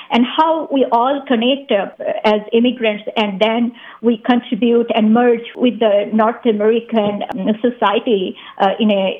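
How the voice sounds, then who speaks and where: A woman from Bangladesh, a guest speaking carefully with many hesitations over the phone. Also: fairly high pitch. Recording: phone line.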